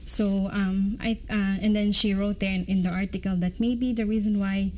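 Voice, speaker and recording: A woman's voice: mid-range voice, flat delivery, moderate pace, darkish tone, slight background noise, poor audio. A woman from the Philippines with a Filipino English accent, a guest explaining her group's goals with frequent "um" and "like". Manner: explaining steadily with many "um"s and "like"s. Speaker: guest, theater group member. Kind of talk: interview answers.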